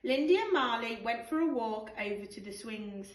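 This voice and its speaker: A woman teacher reading a picture book to young children with expressive, measured narration. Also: on the high side. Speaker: primary school teacher reading a bedtime story. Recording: roomy, distant microphone.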